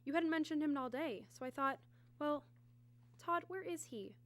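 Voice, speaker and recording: A woman's voice: sits high, normal speed, close to an everyday voice. A woman playing a worried, caring wife in a radio drama, with an anxious, pleading manner. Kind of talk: old-time radio drama acting.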